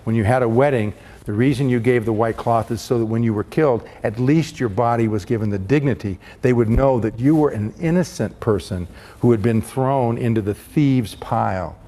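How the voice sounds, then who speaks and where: A man preaching a storytelling sermon, impassioned, rising to loud peaks when he quotes the heroes he describes. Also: mid-range voice, moderate pace. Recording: long hall reverb.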